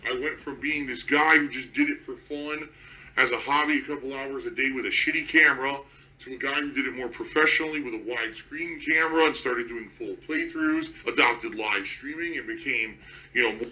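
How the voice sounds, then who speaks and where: A man with a raspy voice, a gaming streamer talking casually and reflectively to his viewers. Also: medium pitch, normal speed, pushed and bright, rough recording.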